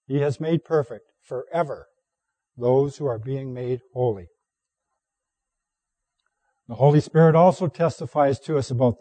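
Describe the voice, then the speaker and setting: A hoarse man preaching a sermon, reading scripture aloud at a measured, deliberate pace. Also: medium pitch, mellow, no room sound.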